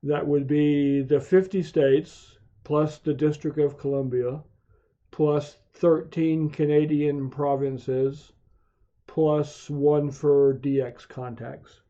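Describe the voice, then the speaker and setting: A man with a very dark, mellow voice walks through a software tutorial. He speaks slowly and methodically at a medium pitch. The recording is dull and lo-fi. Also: steady tone. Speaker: amateur radio hobbyist hosting video tutorials. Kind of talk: software walkthrough narration for a screen tutorial. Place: home radio shack. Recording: dull, muffled lo-fi voice track.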